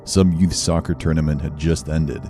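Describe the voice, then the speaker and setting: A man narrating an animated horror story in a scripted storytelling style. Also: a bass voice, normal speed, soft background music.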